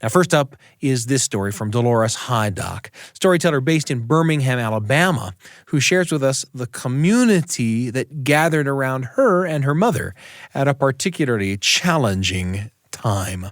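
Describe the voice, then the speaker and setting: A man's voice: mid-range voice, lively intonation, normal speed, no echo, clean studio sound. An American man hosting a radio show, reading scripted narration.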